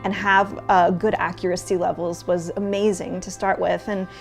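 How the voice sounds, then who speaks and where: A woman telling an anecdote in a documentary interview in a lively storytelling manner. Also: on the low side, normal speed, gravelly, faint music behind.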